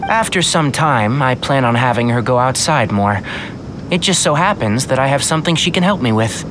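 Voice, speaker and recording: An actor voicing a caring, wry young scholar in a video game, articulate and gently chiding. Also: male voice, mid-range voice, expressive, normal speed, background noise, natural voice. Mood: wry, concerned. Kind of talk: English game voice acting.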